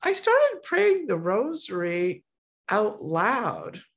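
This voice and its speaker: A middle-aged woman hosting a podcast, talking in a relaxed, chatty way. Her voice has a gravelly edge. She keeps stopping and restarting her sentences and fills the gaps with words like "you know.". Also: medium pitch, extremely slow. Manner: chatty, many fillers and restarts. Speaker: podcast host. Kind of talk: casual conversation.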